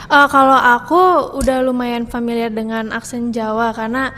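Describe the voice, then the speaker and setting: High-voiced woman chatting in a reverberant room. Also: flat delivery, moderate pace, fairly bright tone. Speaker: actress interviewed as film cast member. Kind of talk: casual interview chat. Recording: reverberant room, faint background noise.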